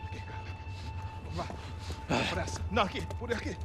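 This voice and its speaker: A man's voice: high-pitched, fast talker, music in the background, lightly acted. An actor playing a panicked, cursing Brazilian man on the run, frantic and urgent, in an action game.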